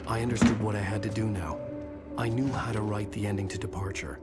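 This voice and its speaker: An actor playing a brooding, resolute novelist, giving measured, grave narration in a video game. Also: male voice, on the low side, steady tone, over loud music, natural voice.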